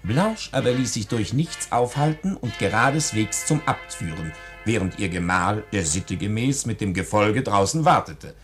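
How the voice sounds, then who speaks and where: A man's voice: fairly low pitch, expressive, normal speed, hardly any pauses, over music. A man narrating a 1940s radio play in a wry, ornate storybook manner.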